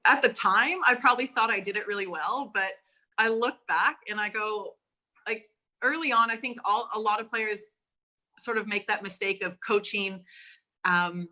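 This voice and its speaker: A woman's voice: medium pitch, normal speed, bright, forceful tone. A woman in her forties, a volleyball coach from Canada whose accent mixes Canadian and Australian, talking in a chatty, upbeat way over a video call. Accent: mix of Canadian and Australian. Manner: chatty and upbeat, laughs often. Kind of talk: casual interview chat.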